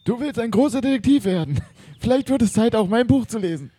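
Guy doing a really high husky butler, english gent, rambles and hesitates, servile, comic audio play. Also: lively intonation, brisk, warm tone, dry recording, heavily acted. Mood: earnest. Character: eager, rambling English butler of an art collector. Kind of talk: improvised comic audio drama.

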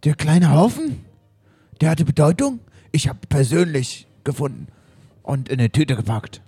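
A man playing a police chief in an improvised radio play, with a hoarse, sore voice. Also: fairly high pitch, animated, unhurried, mellow, no room sound, heavily acted. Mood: eager. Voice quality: gravelly, raspy.

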